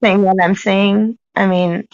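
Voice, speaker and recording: Gravelly-edged American woman, insistent and halting. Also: medium pitch, flat delivery, darkish tone, dry recording. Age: adult. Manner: insistent, halting, repeating herself. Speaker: parent questioning a caseworker. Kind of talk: argumentative phone conversation. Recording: dull lo-fi recorded phone call.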